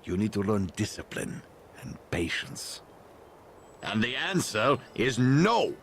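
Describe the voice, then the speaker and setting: A proud, gravelly patriarch speaks slowly and with command in a game cutscene. His lowish voice swings dramatically between stern and teasing. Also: sing-song, slight background noise, heavily acted. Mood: stern, scolding. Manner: commanding, deliberate, dramatic pauses. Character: stern, proud father and leader, teasingly theatrical. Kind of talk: video game cutscene acting.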